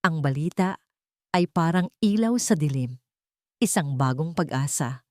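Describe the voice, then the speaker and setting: Low-voiced woman narrating a dramatic story. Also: lively intonation, measured pace, darkish tone, dry recording, clean studio sound.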